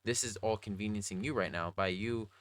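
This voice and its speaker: A young adult man with a slightly raspy voice, talking casually and earnestly in a rambling, slangy video diary. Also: on the low side, fairly quick, no echo. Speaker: vlogger.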